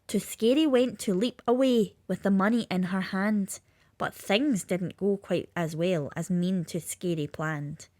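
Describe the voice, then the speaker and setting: A woman's voice: mid-range voice, measured pace, darkish tone, no room sound. A woman with a lilting, sing-song delivery, reading a rhyming children's story aloud.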